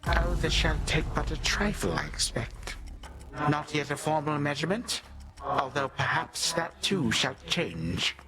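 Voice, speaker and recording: An actor playing an ancient god of time in a video game, a sinister, condescending old villain who speaks in pompous, archaic, theatrical phrases and savors each word. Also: male voice, a little high, lively intonation, a little slow, music in the background. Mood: mocking threat.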